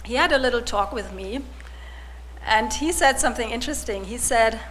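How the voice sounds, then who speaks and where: A woman in her forties, from Germany, giving a personal testimony to a congregation in a soft-spoken, hesitant way with many restarts. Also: on the high side, normal speed, a little hiss or hum, low-quality recording. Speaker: church volunteer giving testimony.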